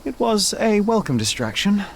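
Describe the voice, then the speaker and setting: A young male detective in a game cutscene, cool, formal and composed. His voice is on the higher side with expressive inflection. There is faint ambient noise behind his clipped, confident lines. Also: normal speed, vocal fry, heavily acted. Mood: polite, guarded. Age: young adult. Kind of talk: video game cutscene acting.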